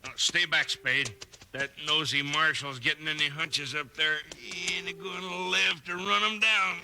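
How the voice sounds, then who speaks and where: An actor playing a cold, mean, murderous outlaw in a 1950s radio western, with a sneering, bossy drawl. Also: male voice, fairly high pitch, steady tone, measured pace, creaky, sharp tone, heavily acted. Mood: cold menace.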